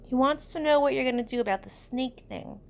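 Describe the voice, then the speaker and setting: A woman's voice: high-pitched, wildly swooping pitch, a little fast, vocal fry, a little hiss or hum, pitched above the performer's own voice, full character voice. A woman voicing an anxious, bookish schoolboy in a storybook, scolding and pleading with emphasis. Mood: insistent. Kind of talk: storybook character voice.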